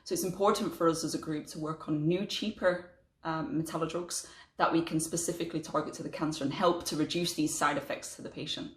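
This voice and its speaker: A woman, a chemistry research fellow, explaining her work in an earnest, steady talk to camera. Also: low voice, brisk, reverberant.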